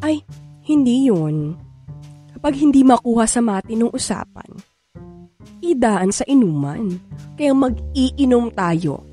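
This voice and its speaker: A woman's voice: fairly high pitch, sing-song, slow pace, long pauses, warm tone. An actress with a Filipino accent playing a bold, flirtatious, eager woman in a radio drama, brash and coaxing. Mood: coaxing determined. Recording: music bed under voice.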